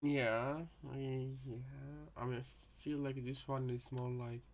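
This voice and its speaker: A man giving casual, unscripted commentary, halting and repeating himself as he thinks out loud. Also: mid-range voice, level tone. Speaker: co-commentator in a reaction video.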